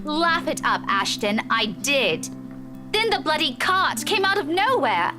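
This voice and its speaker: A woman playing a feisty, guarded young teacher who angers quickly in a visual novel game, with music behind her. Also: high-pitched, big pitch swings. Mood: indignant, angry.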